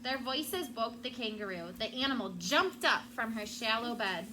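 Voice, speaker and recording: A woman's voice: a little high, animated, normal speed. A woman teacher reading a children's book aloud and prompting pupils with questions. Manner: prompting, checks understanding with questions. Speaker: elementary school teacher. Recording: clearly noisy room sound.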